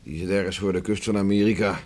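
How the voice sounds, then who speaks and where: Guy acting brooding middle-aged farm dad, very low voice, grumbly, old radio drama with some noise. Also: steady tone, fast, a little mellow, lightly acted. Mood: gruff, doubting. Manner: gloomy, grumbling, terse. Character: brooding, stubborn, weary farmer father, defeatist and gruff. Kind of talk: Dutch radio play acting. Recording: noisy old radio play recording.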